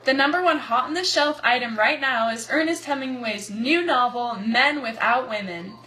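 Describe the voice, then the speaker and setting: A woman's voice: a little high, normal speed, pushed and bright. A woman playing an earnest news anchor in a scripted amateur 1920s radio broadcast. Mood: upbeat.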